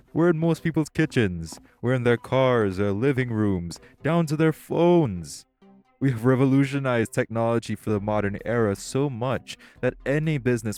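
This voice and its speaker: A man playing a composed, self-assured tech company boss in an audio roleplay, speaking in a polished, measured, articulate way. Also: lowish voice, darkish tone. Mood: firm reassurance. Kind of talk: audio roleplay acting, one-sided dialogue.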